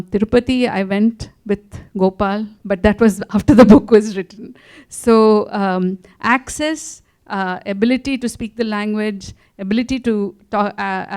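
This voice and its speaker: A woman, an author answering questions in a relaxed conversation, often restarting her sentences. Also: mid-range voice, clean tone. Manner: animated, many restarts and fillers. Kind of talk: conversational interview.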